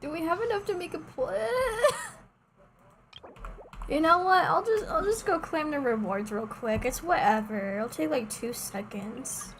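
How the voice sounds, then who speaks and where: A young woman streamer with a fairly high voice chats casually through a game. She is playful and slangy, given to exclamations, with faint game noise behind her. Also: measured pace. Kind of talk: casual live gaming commentary.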